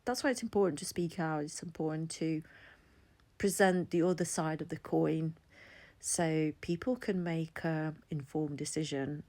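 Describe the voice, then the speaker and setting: A woman's voice: on the low side, darkish tone. A woman in her forties from Latvia, talking candidly to camera in a chatty, personal vlog. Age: 40s. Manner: chatty, candid, laughs between thoughts. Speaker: vlogger. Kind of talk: casual personal commentary.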